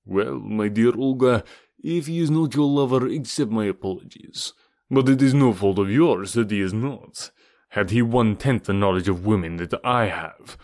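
A man's voice: a little mellow, no echo, studio quality, close to an everyday voice. A man doing a sneering scheming villain. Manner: slow, low and menacing. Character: sneering scheming male villain, cruel and mocking.

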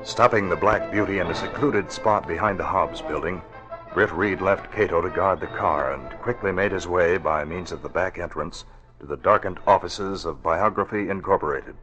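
A man narrating a 1940s radio adventure serial as a formal, deliberate storyteller. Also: deep voice, over music, close to an everyday voice. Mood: dramatic, formal.

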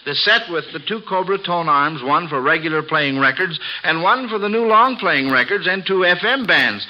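A man hosting an old-time radio quiz for children, with a folksy, avuncular, exclaiming way of talking. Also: fairly high pitch. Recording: dull vintage radio broadcast.

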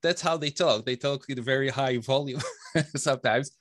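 A man's voice: mid-range voice, normal speed, dry recording, clean studio sound. A man in his forties with an Israeli accent, answering interview questions with many fillers and restarts. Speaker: author guest. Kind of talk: interview conversation.

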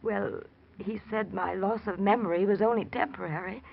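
A woman's voice: medium pitch, fairly even intonation, vocal fry, a little hiss or hum, lightly acted. An actress playing a fragile, injured woman with a hazy memory, hesitant and uneasy, in 1940s radio drama style. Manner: hesitant, halting. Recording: muffled vintage radio recording.

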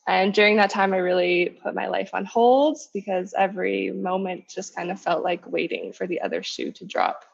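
A woman's voice: mid-range voice, expressive, normal speed, gravelly, hardly any pauses, husky, lo-fi sound. Candid Canadian woman in her twenties. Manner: candid, laughs softly between phrases. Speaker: guest. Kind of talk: personal storytelling in an interview. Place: coffee shop.

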